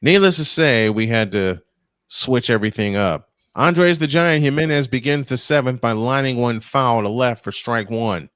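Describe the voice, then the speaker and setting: A man's voice: medium pitch, moderate pace, a bit bright, clear, resonant tone, no room sound. A man calling baseball play-by-play live, mixing it with casual chat in a folksy, exclamatory way.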